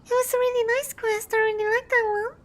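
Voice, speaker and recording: A woman's voice: extremely high pitch, little pitch movement, quick pace. A woman streaming a game, chatting casually in a gushing, sentimental way. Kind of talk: casual livestream chat while gaming. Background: faint music.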